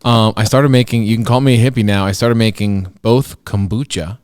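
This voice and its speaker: A man's voice: on the low side, steady tone, fairly quick, a little mellow, smooth, no echo, studio quality. A man co-hosting a podcast in casual banter, teasing his co-host and explaining food at length with enthusiasm.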